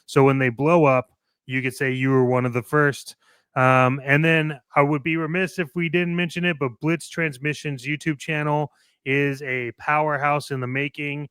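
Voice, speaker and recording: A man co-hosting a toy collectors' show, giving casual, playful promotional shout-outs like a pitchman. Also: little pitch movement, normal speed, smooth, no room sound.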